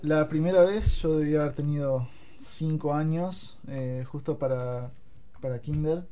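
An eighteen-year-old man from Buenos Aires, speaking with an Argentine accent and giving modest, measured answers as a radio interview guest. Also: a little high, mellow. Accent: Buenos Aires Argentine Spanish.

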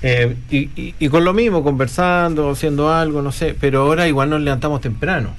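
A man hosting a radio show in a studio, chatting in a relaxed, rambling way with many fillers. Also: fairly high pitch, a little fast. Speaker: radio host. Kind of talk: casual chat.

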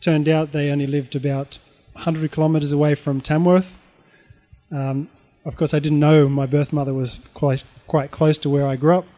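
A middle-aged man with an Australian accent tells his life story informally, in a halting, reflective way. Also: fairly high pitch, level tone, dark tone.

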